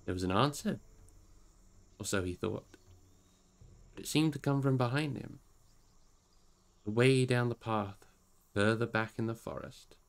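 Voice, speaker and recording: A man narrating a fantasy story in an expressive audiobook style. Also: medium pitch, unhurried, gravelly, long pauses. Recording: music behind the voice.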